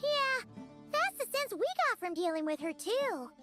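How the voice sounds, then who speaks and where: A woman's voice: very animated, unhurried, a bit bright, soft background music, full character voice. A woman voicing a small, chirpy, childlike fairy companion girl in a video game, perky and curious. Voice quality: squeaky, childlike.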